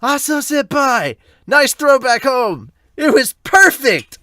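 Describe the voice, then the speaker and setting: A man voicing hot-blooded high school baseball players in a manga dub, bellowing in exclamations. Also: sing-song, slow, pushed and bright, no echo, clean studio sound, heavily acted. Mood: excited.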